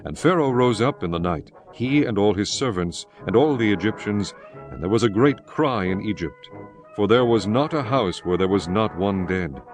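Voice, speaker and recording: Low-pitched solemn male scripture narrator. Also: expressive. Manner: measured, formal. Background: music.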